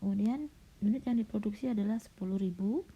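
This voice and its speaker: A middle-aged woman lecturer, slightly hoarse and coughing, patiently walking students through a recorded slide lecture with frequent fillers. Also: mid-range voice, fast. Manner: patient, explanatory, with frequent "nah" and "ya". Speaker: university lecturer. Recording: dull lo-fi recording.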